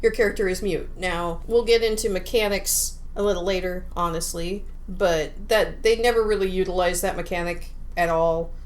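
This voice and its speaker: A woman co-hosting a podcast about video games, chatting casually in an animated, giggly, self-interrupting way. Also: lowish voice, moderate pace, few pauses. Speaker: podcast co-host.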